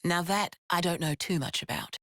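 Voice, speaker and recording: An actress playing a frank, steady female resistance leader in a video game, with plain-spoken, measured storytelling. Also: fairly low pitch, dry recording, clean studio sound. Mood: earnest. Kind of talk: video game cutscene acting. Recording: music behind the voice.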